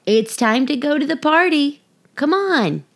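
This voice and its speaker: A woman's voice: sits high, animated, a little slow, pitched above the performer's own voice. A woman voicing a cheerful, affectionate little girl in a storybook, with an eager, singsong delivery.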